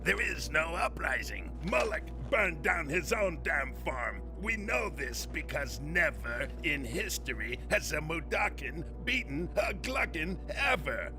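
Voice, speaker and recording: A man voicing a pompous, irritable alien industrial boss, a scornful villain in an animated game cutscene. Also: a little high, over music. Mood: irritated, scornful. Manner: drawn-out, scornful.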